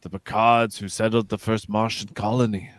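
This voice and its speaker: Dignified ageing captain, sci-fi radio play, male actor. Low, formal, reflective, dry close recording. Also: level tone, normal speed, dry recording, natural voice. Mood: melancholy. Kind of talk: live script read, sci-fi radio theatre.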